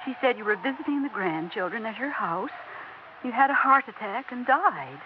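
A woman's voice: on the high side, expressive, moderate pace, lightly acted. An actress playing an anxious, clinging older wife who pleads with her husband, in a 1960s radio drama. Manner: pleading, emotional, insistent. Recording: dull old radio broadcast with faint hiss.

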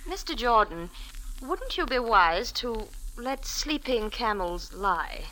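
Cool haughty female agent, 1940s radio drama, highish sharp voice, very proper. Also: sing-song, slow, exaggerated voice, rough recording. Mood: cool, probing. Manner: cool, haughty, formal phrasing. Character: poised, defiant female undercover British narcotics agent. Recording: vintage 1940s radio recording.

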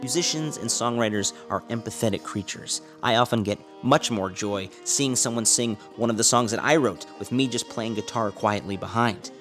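A man's voice: on the low side, moderate pace, few pauses, a bit bright. Earnest musing man over background music. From United States. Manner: earnest, musing, asks many rhetorical questions. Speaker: podcast host and musician. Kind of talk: reflective monologue and interview.

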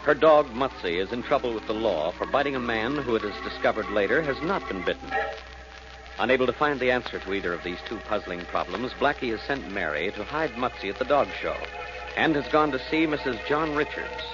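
A man's voice: medium pitch, lively intonation, brisk. A man with an American accent giving scripted narration as a 1940s radio announcer. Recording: muffled old radio recording. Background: prominent music.

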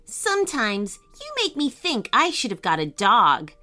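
Woman playing a high, bright storybook girl. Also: wildly swooping pitch, unhurried, heavily acted. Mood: teasing. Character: kind, bright, determined young girl.

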